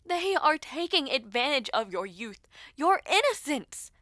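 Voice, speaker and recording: An anxious young princess, acted by a woman narrator in a dramatic audio story. She is protective and earnest, with breathy intensity and deliberate pacing in a dry recording. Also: high voice, very animated, a bit bright, no room sound, pitched above the performer's own voice, full character voice. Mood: angry pleading.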